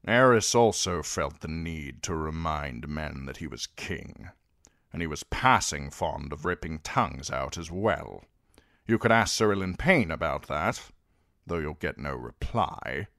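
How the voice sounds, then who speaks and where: A man voicing a stern, cold old lord who speaks in measured, authoritative tones. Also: very deep voice, lively intonation, fairly bright tone, no echo, studio quality, heavily acted.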